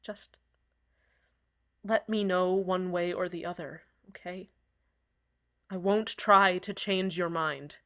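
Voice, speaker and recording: A woman voicing a heartbroken community radio host who speaks in an earnest, halting way with many pauses. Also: lowish voice, fairly even intonation, a bit bright. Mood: remorseful. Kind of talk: fan radio drama monologue.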